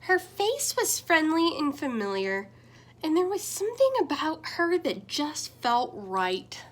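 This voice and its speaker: Lady teacher doing a storybook read-aloud, lively sing-song voice, gentle. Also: measured pace, slight background noise. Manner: gentle storytelling with expressive swings in pitch and loudness. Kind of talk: picture book read-aloud.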